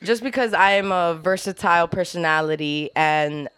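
A young woman from New York with a New York accent, co-hosting a casual chat in a loud, animated, laughing way. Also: lowish voice, normal speed, clean tone. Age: young adult.

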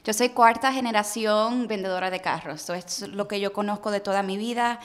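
A woman tells her story in a conversational interview. Her voice sits at an average pitch, and she slips English words into her speech as she goes. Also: a little fast. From Puerto Rico and the United States. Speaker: interview guest, car business entrepreneur.

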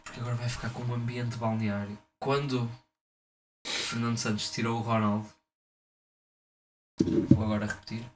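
A young man from Portugal co-hosting a podcast, chatting and joking fast with a friend. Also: mid-range voice, flat delivery, far from the mic, slight background noise. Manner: fast, joking, acts out quotes in mock voices. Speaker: podcast co-host. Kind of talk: casual banter between friends.

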